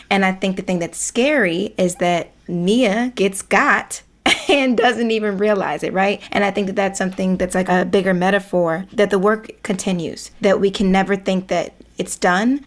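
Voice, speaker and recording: Young woman, lowish pitch, actress, reflective discussion.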